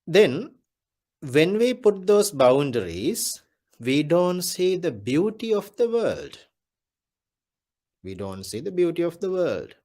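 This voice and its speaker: A Buddhist monk giving a Dhamma talk, speaking deliberately and repeating his key phrases. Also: fairly high pitch, big pitch swings, a little mellow.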